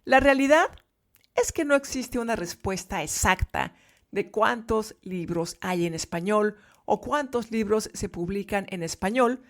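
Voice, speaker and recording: A woman teaching Spanish to learners in a podcast lesson, speaking clearly and emphatically. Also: medium pitch.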